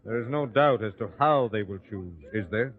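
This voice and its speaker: An actor playing a scheming priest who persuades a governor slyly, in an old-time radio drama. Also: male voice, lowish voice, normal speed, natural voice. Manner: sly, persuasive. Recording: tinny phone-like lo-fi recording.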